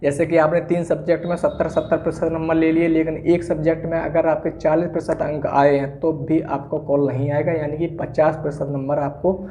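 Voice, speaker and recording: A man's voice: fairly high pitch, flat delivery, a little fast, hardly any pauses, warm tone, slight background noise. A man presenting an exam-prep explainer, going through it didactically, point by point. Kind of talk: explainer addressed to exam candidates.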